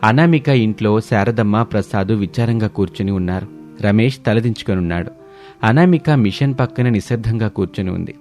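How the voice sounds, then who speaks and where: A man's voice: on the low side, darkish tone. A man reading a scripted family story as a dramatic narrator.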